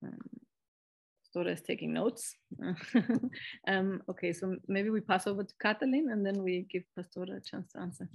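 A woman's voice: mid-range voice, normal speed, vocal fry. A woman moderating an online seminar on a video call, talking in a loose, rambling way with many fillers.

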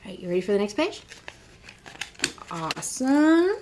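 A woman's voice: medium pitch, sing-song, normal speed, a little mellow. A young adult woman reading picture books aloud as a children's librarian, encouraging and speaking directly to young listeners. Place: indoor library room with bookshelves.

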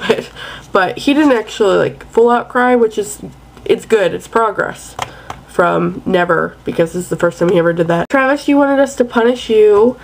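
A young woman chatting casually and playfully to her webcam in a bedroom at night. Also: medium pitch. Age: young adult. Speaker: vlogger. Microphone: webcam at close range.

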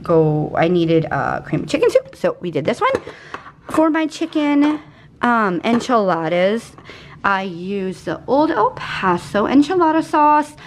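Chatty woman with a lively voice. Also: mid-range voice, unhurried, a little hiss or hum. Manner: chatty, rambling, easily sidetracked. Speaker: vlogger. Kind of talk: casual grocery haul chat. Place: home kitchen.

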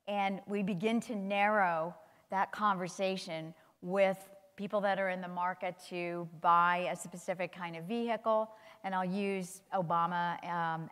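A woman in her sixties speaking on a conference panel, animated and gesturing as she talks. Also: mid-range voice. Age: 60s. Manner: animated, gestures while talking. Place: large conference hall stage. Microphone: lapel mic. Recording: long hall reverb.

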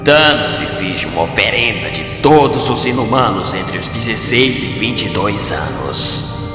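A Brazilian man voicing an arrogant alien envoy of a tyrant in a motion comic, with a slow, taunting, theatrical delivery. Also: on the low side, lively intonation. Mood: menacing. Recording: echoey long reverb, music underneath.

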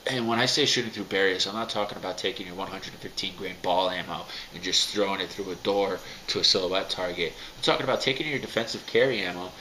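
A man's voice: low-pitched, quick pace, few pauses, pushed and bright, slight background noise, rough recording. A man in his thirties, getting over a cold, giving a rambling, filler-heavy talk to his camera at home. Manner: rambling, many fillers and restarts. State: recovering from a cold. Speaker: firearms vlogger. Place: dim home room, indoors.

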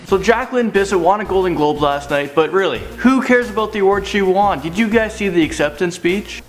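A man's voice: sits high, fairly quick. A young man hosting a casual celebrity news show, talking animatedly with his hands in a studio. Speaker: entertainment news host. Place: studio in front of a green-screen backdrop.

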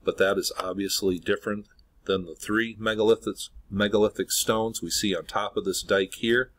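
Low-voiced man narrating a screen recording. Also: fairly even intonation, moderate pace, fairly bright tone. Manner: earnest, speculative, says "obviously" often. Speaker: video host.

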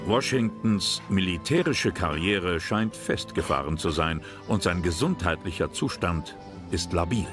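Low-voiced male documentary narrator. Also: animated, a little slow. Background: music.

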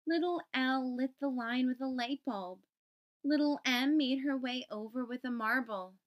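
Woman, children's book narrator, high pitch, unhurried, deliberate enunciation. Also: dull audio. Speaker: storytime host. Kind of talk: children's picture book read-aloud.

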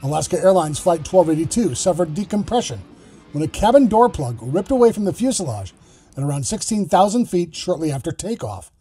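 A man hosting a news podcast, reading a scripted report in a measured, explanatory way. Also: fairly high pitch, big pitch swings, warm tone, slightly rough, faint music behind.

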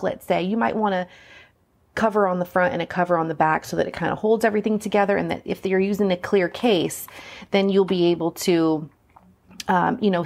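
Casual woman with a creaky, lowish voice. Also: a little mellow. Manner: informal, chatty, thinking aloud. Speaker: craft tutorial host. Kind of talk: casual hands-on craft tutorial.

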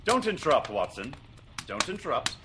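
An actor playing a shrewd, self-assured gentleman detective in a classic radio drama, brisk and incisive. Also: mid-range voice, very animated, natural voice. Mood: impatient. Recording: noisy old radio recording with clicks.

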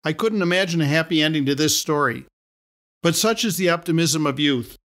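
A middle-aged man reading his own story aloud as a podcast host, in a measured, wry storytelling manner. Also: on the high side, a bit bright. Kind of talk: scripted storytelling narration.

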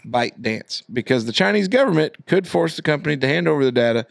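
A man's voice: a little high, expressive, quick pace, gravelly, no room sound, clean studio sound. A man hosting a casual podcast chat, reading a news item and then chatting loosely with his co-hosts. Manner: reads news aloud, then chats loosely. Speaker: podcast host.

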